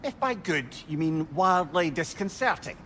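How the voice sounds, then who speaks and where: An actor playing a wry, talkative old adviser, wordy and philosophical, in a video game. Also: male voice, a little high, lively intonation, normal speed, fairly bright tone, slight background noise. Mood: dryly wry. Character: wry, talkative, counselling old male companion. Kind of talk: dramatic video game acting.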